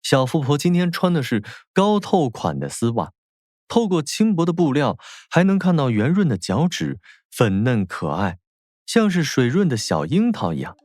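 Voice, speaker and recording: A man's voice: mid-range voice, wildly swooping pitch, normal speed, a little mellow, dry recording, clean studio sound. A man narrating a novel as a scripted, dramatic audiobook. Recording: faint music behind.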